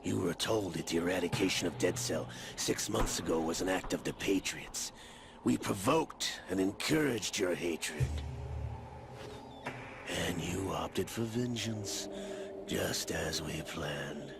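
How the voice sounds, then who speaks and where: An actor playing a smug, cruel older gunslinger villain in a video game, gravelly, theatrical and condescending, with drawn-out emphasis. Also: male voice, low voice, expressive, background noise, heavily acted. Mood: taunting, triumphant. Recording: loud dramatic score behind. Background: cinematic game music.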